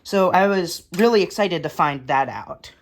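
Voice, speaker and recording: A woman's voice: lowish voice, expressive, moderate pace, background noise. A woman giving a casual, matter-of-fact show-and-tell of a hobby collection in short, clipped phrases, recorded indoors at home.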